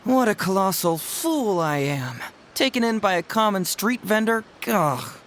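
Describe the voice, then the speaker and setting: A woman's voice: mid-range voice, very animated, unhurried, a little hiss or hum. A woman voicing a cocky, clever young male detective in a game, glib and playful. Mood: reassuring. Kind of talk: game cutscene voice acting.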